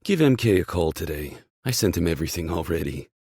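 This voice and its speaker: A man performs a hearty male boss from an audiobook novel. He is emphatic, fatherly and encouraging, with a low, husky voice in a dry, close recording. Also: lively intonation, fast, darkish tone, no echo. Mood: encouraging, firm.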